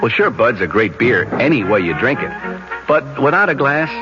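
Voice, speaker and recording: A man reading a 1970s radio beer commercial in a smooth, relaxed sales manner. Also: mid-range voice, moderate pace. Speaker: ad announcer. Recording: dull old radio broadcast with music.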